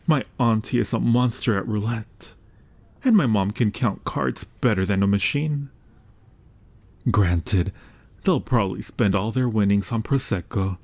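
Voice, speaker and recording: A man acts out a young mafia boss in an intimate audio roleplay. His voice sits fairly low and has a husky grain. He takes his time, smooth-talking and teasing with smug, mock-sincere charm. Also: darkish tone. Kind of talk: ASMR boyfriend-style audio roleplay.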